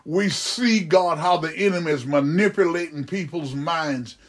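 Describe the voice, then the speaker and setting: A man's voice: fairly high pitch, unhurried. A man preaching in fervent, improvised Pentecostal prayer, pleading repetitively and calling out to God mid-phrase.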